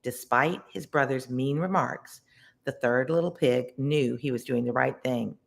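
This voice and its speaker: Gravelly children's storyteller, expressive. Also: mid-range voice. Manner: deliberate, expressive, explains words simply. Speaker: read-along storyteller and tutor. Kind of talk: children's story read aloud, with word explanations.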